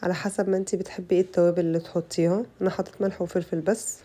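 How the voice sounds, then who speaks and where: A young Egyptian woman with an Egyptian Arabic accent, her voice rough from a bad cold, chatting to her viewers through a casual cooking tutorial. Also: on the low side, little pitch movement, rapid-fire, dark tone. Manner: chatty, addresses viewers as girls. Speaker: cooking channel host.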